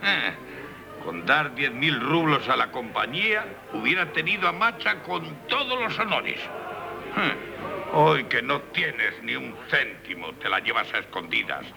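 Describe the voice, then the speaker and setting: An actor with a Castilian Spanish accent playing a gruff, grasping, reproachful father in 1970s radio theatre. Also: medium pitch, very animated, unhurried, low-quality recording. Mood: bitter indignation. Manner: reproachful, blustering. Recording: 1970s radio drama with music behind.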